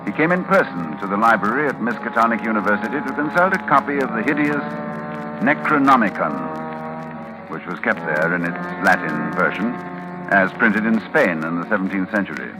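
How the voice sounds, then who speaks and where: Man acting a low-voiced, expressive learned narrator. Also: a little fast, music in the background, close to an everyday voice, rough recording. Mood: grave, haunted. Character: learned, troubled university librarian recounting horror. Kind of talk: dramatic 1940s radio narration. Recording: old 1940s radio broadcast recording.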